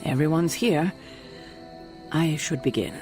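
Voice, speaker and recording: Game commander: burdened, self-doubting heroine, serious measured delivery over soft music. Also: female voice, low-pitched. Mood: resolved, hesitant. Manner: serious, deliberate. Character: troubled woman leader of a superhero team, self-doubting, earnest. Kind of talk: video game cutscene acting.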